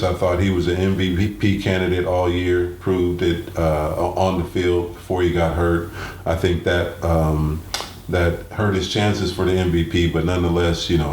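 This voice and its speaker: A man hosting a sports talk show, giving game analysis in a halting, deliberate way. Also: low voice, a little mellow. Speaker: sports radio host. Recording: roomy echo, clear background noise.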